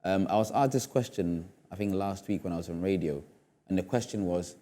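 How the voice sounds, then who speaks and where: A man's voice: low voice, fairly quick, mellow. A man speaking as a studio guest on a discussion show, earnest and emphatic, often repeating phrases for effect. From Ghana. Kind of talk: panel discussion.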